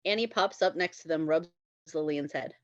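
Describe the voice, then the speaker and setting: A woman's voice: sits low, moderate pace, no echo, muffled recording. A woman reading stage directions as scripted narration at a table read.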